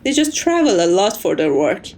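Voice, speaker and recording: A woman's voice: mid-range voice, big pitch swings, moderate pace, darkish tone. A woman playing a composed, courteous vampire's maid in an ASMR roleplay, formal and reassuring with a wry tease. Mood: calm reassurance.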